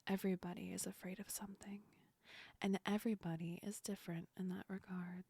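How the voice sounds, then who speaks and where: A woman voicing a gentle, affectionate female sleep demon in a soft, breathy ASMR whisper, close to the microphone, soothing and lightly teasing. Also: fairly low pitch, dry recording. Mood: soothing, reassuring. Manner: slow, soothing, reassuring, lightly amused. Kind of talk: ASMR roleplay, whispered and intimate.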